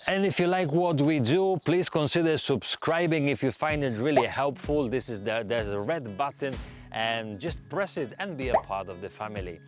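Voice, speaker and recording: A man's voice: medium pitch, moderate pace. A man hosting a travel video, speaking earnestly to viewers with slightly non-native English phrasing. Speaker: host. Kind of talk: presenting travel safety advice to viewers. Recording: music behind the voice. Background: background music.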